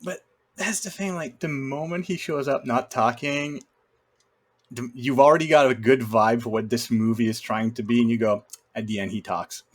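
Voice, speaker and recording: A man's voice: medium pitch, moderate pace. A man giving a casual, opinionated film critique, arguing his points emphatically. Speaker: film podcast co-host or guest.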